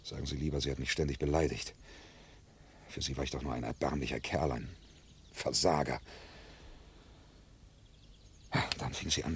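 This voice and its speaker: A man's voice: a bass voice, creaky, breathy edge, exaggerated voice. An actor playing an embittered, humiliated man in a German radio crime drama, speaking in a bitter, self-deprecating way. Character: embittered, humiliated man suspected of murder, confessing.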